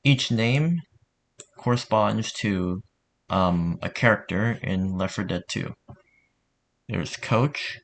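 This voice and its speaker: Low-pitched man, casual tutorial narrator. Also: slow pace, vocal fry, long pauses. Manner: informal, offhand, mildly sweary.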